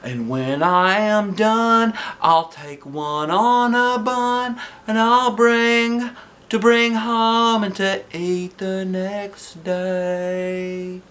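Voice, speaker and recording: A man voicing a woman talent coach in a children's story, singing a drawn-out jingle to an old cowboy tune. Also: sits high, fairly bright tone, voice pushed up, exaggerated voice. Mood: showy, singing. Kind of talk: sung parody of a cowboy tune.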